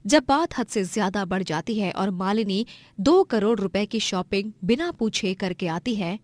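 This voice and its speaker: A woman's voice: mid-range voice, expressive, unhurried, dry recording. A woman narrating a scripted moral story as a storyteller.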